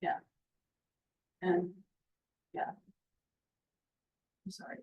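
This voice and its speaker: A woman's voice: drawn-out words, highs cut off. Older woman chatting on a video call. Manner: chatty, laughs often, trails off softly. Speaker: presenter. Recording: echoey room from far off, faint noise.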